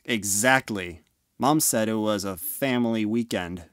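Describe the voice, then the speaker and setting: A man's voice: lowish voice, a little slow, fairly bright tone, dry recording, studio quality. A man playing a moody, offhand teenage older brother in an amateur audio drama. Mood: resentful.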